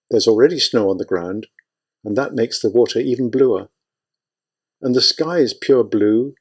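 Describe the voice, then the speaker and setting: Gentle soft-voiced wife writing home, read out by a male narrator. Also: mid-range voice, natural voice. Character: tender grieving young wife.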